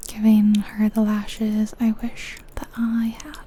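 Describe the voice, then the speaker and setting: A woman whispering softly and breathily in a gentle, unhurried ASMR style, chatting casually as she thinks aloud over a game. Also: mid-range voice, monotone, slight background noise. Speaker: ASMR video creator, host.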